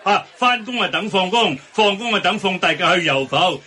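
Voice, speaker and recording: An actor playing a grumbling, penny-pinching restaurant boss with a scolding Hong Kong Cantonese delivery in a radio drama. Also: male voice, sits high, expressive, normal speed, bright, forceful tone, slight background noise. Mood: sarcastic, stern. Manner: scolding, sarcastic.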